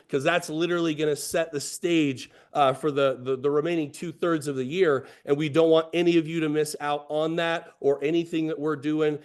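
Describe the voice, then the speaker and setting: A man giving an excited, motivational address to a sales team, his voice hoarse from talking, repeating phrases and using many fillers. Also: fairly high pitch. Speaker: company executive.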